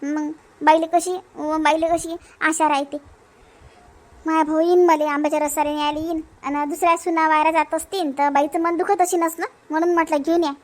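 A woman's voice: high-pitched, little pitch movement, a little fast, natural voice. A woman voicing a dutiful, insistent village wife in an amateur cartoon family story, with a Varhadi Marathi accent. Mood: insistent, concerned.

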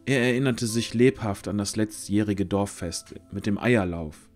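A man's voice: fairly low pitch, moderate pace, a little mellow, faint music behind. A man narrating a scripted fantasy audio drama as its storyteller.

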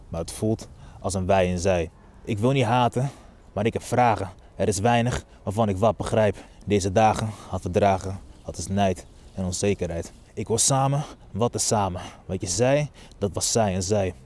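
A man's voice: lowish voice, darkish tone. A young man performing rhyming spoken word poetry.

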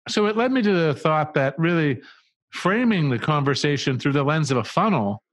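A man's voice: fairly high pitch, animated, moderate pace, no echo. Thoughtful middle-aged man explaining ideas. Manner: thoughtful, explains with restarts and asides. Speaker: marketing agency co-founder, guest. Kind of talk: conversational interview answers. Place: small studio room with a red wall. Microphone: studio mic on a boom arm with headphones.

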